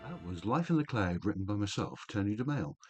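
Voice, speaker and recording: Lower-voiced man reading play credits. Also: sing-song, a little fast, music in the background. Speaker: playwright announcing credits. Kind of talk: scripted announcement.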